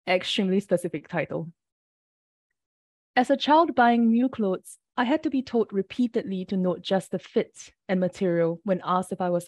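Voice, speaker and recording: A woman from Singapore, reading her poems aloud in a measured, deliberate way, her voice a little off from the early hour. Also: medium pitch, a little mellow, dry recording, studio quality. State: slightly off early-morning voice. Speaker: poet. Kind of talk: poetry reading.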